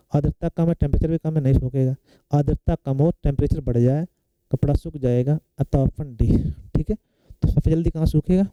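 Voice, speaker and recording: Guy teaching exam prep, slightly high voice, really mellow, dry close sound, keeps checking you follow. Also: clear, resonant tone, dry recording, low-quality recording. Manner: explanatory, often checking in with short tag questions. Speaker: science teacher for competitive exam students. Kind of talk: classroom lecture working through exam questions.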